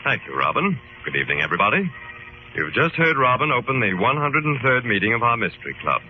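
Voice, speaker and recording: An actor playing a calm, authoritative club leader, formal and measured, in old-time radio drama style. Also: male voice, medium pitch, soft background music, close to an everyday voice. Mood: authoritative, explaining. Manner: formal, measured, clearly enunciated. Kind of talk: 1940s-50s radio drama acting and narration. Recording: muffled old radio broadcast with hiss.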